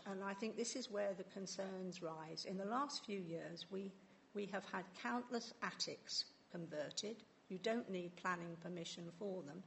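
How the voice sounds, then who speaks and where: A woman's voice: mid-range voice, vocal fry, a bit bright, a little hiss or hum. A woman councillor speaking in a formal council meeting, earnest and hesitant, often restarting her words.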